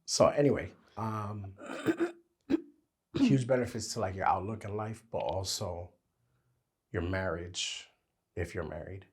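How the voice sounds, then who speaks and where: A man with a very deep voice co-hosts a relaxed podcast chat. His voice is husky and worn because he is losing it. He finds his thoughts by talking them through. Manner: talks his thoughts out as he goes. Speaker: podcast co-host. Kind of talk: casual chat.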